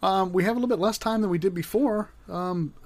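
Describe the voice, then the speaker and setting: A man improvising the part of an affable local radio host who rambles haltingly through a comedy interview. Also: sits high. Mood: easygoing, promotional. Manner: halting, hesitant, trailing off.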